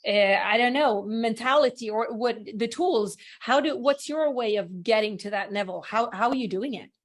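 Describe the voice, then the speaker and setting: Higher-voiced woman, rambling host question. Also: moderate pace. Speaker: podcast host. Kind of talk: casual interview chat.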